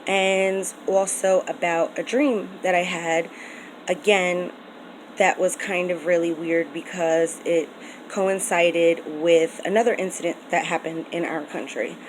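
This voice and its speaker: A woman's voice: lowish voice, steady tone, measured pace, a little hiss or hum, poor audio. Earnest, rambling American woman vlogging. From United States. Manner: earnest, rambling, many ums and restarts. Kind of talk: casual personal vlog talk.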